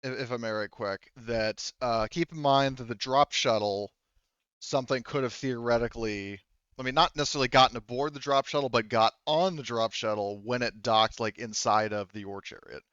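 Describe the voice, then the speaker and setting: A man narrating a tabletop roleplaying game as game master, halting and thinking aloud as he goes, through dull lo-fi audio. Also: mid-range voice, fairly bright tone, clear, resonant tone, no echo.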